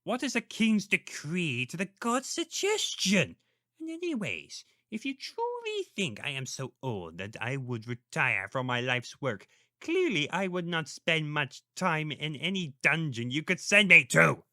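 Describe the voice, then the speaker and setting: A man's voice: on the high side, very animated, unhurried, bright, forceful tone, dry recording, clean studio sound, exaggerated voice. A man playing a pompous, indignant elderly priest in a theatrical audio roleplay, sardonic and histrionic. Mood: wounded, melodramatic. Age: older.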